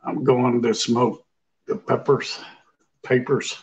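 A man's voice: mid-range voice, level tone, takes it slowly. An older man, chatting easygoing on a home cooking livestream, recorded on a webcam at a kitchen table. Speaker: livestream co-host. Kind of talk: casual livestream chat. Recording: dull, lo-fi webcam audio.

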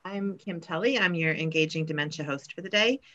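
A woman's voice: fairly low pitch, fairly quick, fairly bright tone. A middle-aged woman hosting a virtual conference session over a video call, friendly and welcoming with frequent fillers. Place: home room, indoors. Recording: muffled video call audio.

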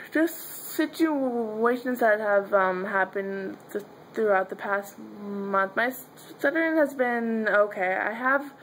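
A young woman talks slowly and openly into a close camera from her bedroom, stuttering through blocks, repeated sounds and fillers. Her voice sits at an ordinary pitch for a woman. A faint hiss of room noise runs underneath. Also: poor audio. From Pennsylvania, US. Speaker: vlogger. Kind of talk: casual video diary. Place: dim bedroom, indoors. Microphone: webcam or phone held close.

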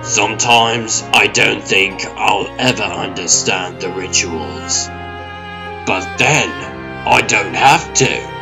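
A man's voice: fairly low pitch, slow, creaky, pitched below the performer's own voice. A man voicing a brash, exasperated raccoon ranger in a comic dub, dry and bossy, with music underneath. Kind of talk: comic-book dub acting.

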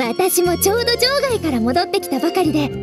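A woman's voice: extremely high pitch, very animated, fast, loud music behind the voice. A woman voicing a cheerful, friendly teenage girl scout in an anime game, open and eager. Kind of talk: Japanese anime game voice acting.

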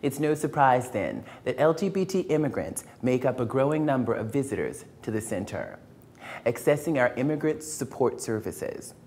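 A woman host reading scripted voice-over narration in a calm, measured way. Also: very deep voice, slight background noise.

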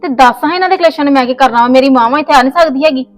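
A woman's voice: high voice, fairly even intonation, rapid-fire, soft background music, lightly acted. A woman playing a stubborn young wife in a family skit, scolding and insistent. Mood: insistent, heated. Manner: scolding, insistent, voice rising in argument. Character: young married woman, stubborn, quick to argue. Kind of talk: scripted family comedy skit.

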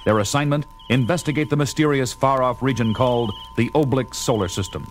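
A man's voice: mid-range voice, fairly quick, lightly acted. A man delivering dramatic scripted narration for a 1970s audio drama. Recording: music behind the narration.

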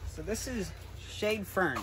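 A man's voice: high-pitched, slow, a bit bright. A young man giving an informal, explanatory tour as a guide, recorded on a handheld camera. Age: young adult. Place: large greenhouses and garden center yard. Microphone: camera or phone a few steps away. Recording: clear background noise, handheld camera video.

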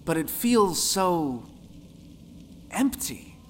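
A man's voice: sits high, big pitch swings, extremely slow, slight background noise, voice pushed up, heavily acted. A man voicing a wistful young boy in a folktale audio drama. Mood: wistful wonder.

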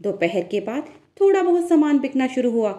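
A woman's voice: high-pitched, sing-song, fairly quick, warm tone, voice pushed up. A woman voicing eager, hardworking young village sisters who sell clay toys in a cartoon story. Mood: happy, excited.

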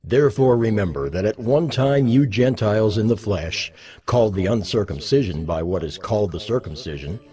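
A man reading scripture as a dramatized narration, solemn and measured, with emphatic phrasing. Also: fairly low pitch, expressive, warm tone. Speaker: Bible narrator. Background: music under the voice.

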